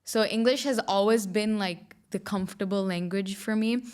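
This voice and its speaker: A woman's voice: medium pitch, normal speed, smooth. A young woman whose mother tongue is Urdu, hosting a podcast and asking questions in a thoughtful, casual way with frequent restarts. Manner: thoughtful, agreeing, many restarts. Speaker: podcast host. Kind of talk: casual interview chat.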